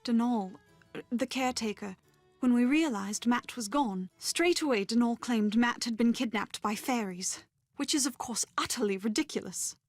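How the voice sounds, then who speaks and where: Actress doing an earnest young bride-to-be in a game, highish voice, dry recording. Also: female voice, moderate pace, no echo, faint music behind, close to an everyday voice. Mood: concerned, dismissive. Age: young adult. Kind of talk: video game voice acting.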